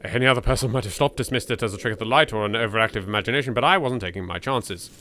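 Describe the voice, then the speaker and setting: Bright, forceful Englishman playing a horror game. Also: fairly low pitch, very fast. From England. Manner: sweary, jittery, sardonic asides. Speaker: video game let's player. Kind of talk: let's play commentary with dramatic read-aloud of game text.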